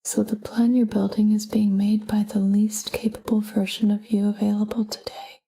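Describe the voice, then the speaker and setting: A woman narrating a scripted sleep meditation in a calm, gentle, whispery voice with measured delivery. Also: medium pitch. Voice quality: breathy, whispery. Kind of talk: scripted guided sleep meditation, soft spoken.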